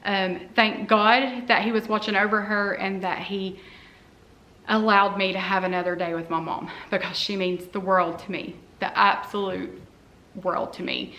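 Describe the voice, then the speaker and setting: A woman in her forties speaks to her camera in a loose, heartfelt ramble, often pausing on an "um." Her voice sits at an ordinary middle pitch but has a bright, forceful edge. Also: flat delivery. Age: 40s. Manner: earnest and heartfelt, rambling with frequent "um"s. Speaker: cleaning vlogger. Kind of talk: casual talk to camera.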